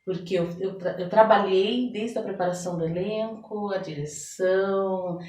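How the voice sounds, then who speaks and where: A woman's voice: mid-range voice, normal speed. A Brazilian woman answering in an interview as a guest, telling her experiences expressively and often checking for agreement. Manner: expressive storytelling, often seeking agreement with tags. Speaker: interview guest, therapist and theater director. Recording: echoey room, distant microphone.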